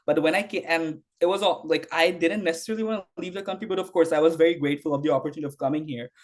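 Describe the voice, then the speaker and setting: A man's voice: a little high, very fast. A young man from Bangladesh, a university student, answering interview questions casually with frequent fillers and restarts. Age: young adult. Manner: rambling, heavy use of "like", frequent restarts.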